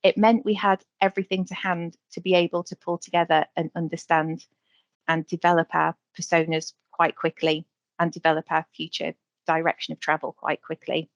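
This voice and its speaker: A woman presenting slides on a webinar video call, talking quickly with some fillers. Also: lowish voice, little pitch movement, vocal fry, no room sound, lo-fi sound. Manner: quick, slightly hurried delivery with fillers.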